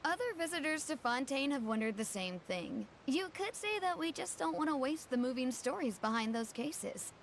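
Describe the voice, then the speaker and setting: A woman playing a polite, proud young townswoman in a video game, earnestly explaining things in a courteous, expressive way. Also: sits high, normal speed, few pauses, a little hiss or hum. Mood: reassuring, proud. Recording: background music under voice.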